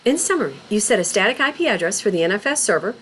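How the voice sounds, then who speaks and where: A woman narrating a scripted tutorial as an instructor, in a deliberate, instructional manner. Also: medium pitch, sing-song, fairly bright tone, slight background noise. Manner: deliberate, instructional, spells out terms letter by letter. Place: voice-over for a screen recording.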